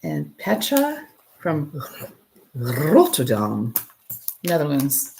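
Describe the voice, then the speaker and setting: A middle-aged woman whose voice sits very low and carries a gravelly fry. She chats in a relaxed way, laughs often and thinks aloud while she works with her hands. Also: extremely slow, a little hiss or hum, poor audio. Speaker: potter and channel host. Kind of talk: casual live-stream chat.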